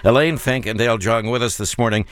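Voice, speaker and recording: A man hosting a public radio fundraiser in the studio, chatting genially with guests and slowing down to read out the pledge number. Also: mid-range voice, fairly bright tone, clear, resonant tone. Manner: genial, chatty, slows to repeat phone numbers. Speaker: radio host. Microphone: studio mic.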